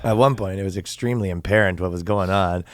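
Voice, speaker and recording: A man's voice: sits low, quick pace, no echo, studio quality. A man co-hosting a comedy podcast in loose, profane banter, laughing often. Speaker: podcast co-host. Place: podcast studio.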